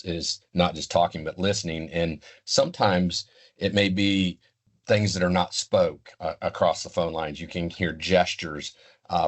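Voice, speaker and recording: An older man giving unscripted, explanatory interview answers in a deliberate, halting way. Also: low voice. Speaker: interview guest, retired law enforcement communications expert.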